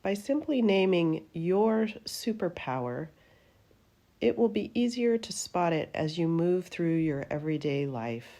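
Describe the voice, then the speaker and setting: A woman with a low, mellow voice leads a guided meditation. She speaks very slowly and gently, in soothing phrases that trail off at the ends. Her tone is dark and relaxed, suited to a teacher of deep rest practice. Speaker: yoga nidra meditation teacher.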